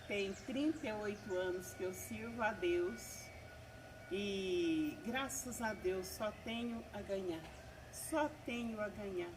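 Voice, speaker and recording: Woman, 70s, earnest church testimony, mid-pitched, distant mic, noisy outdoor birdsong. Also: slow. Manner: earnest, repeats "né?" and praises God often. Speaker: guest giving testimony. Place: country property.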